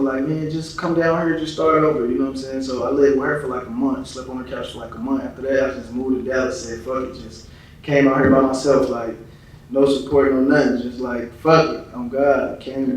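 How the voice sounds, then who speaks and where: A man's voice: medium pitch, little pitch movement, fairly quick. A man from Chicago talking casually as an interview guest, with slangy, profane phrasing and frequent verbal tags. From Chicago, United States. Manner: slangy, profane, frequent "you know what I'm saying". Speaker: interview guest, rap artist. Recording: echoey room, distant from mic.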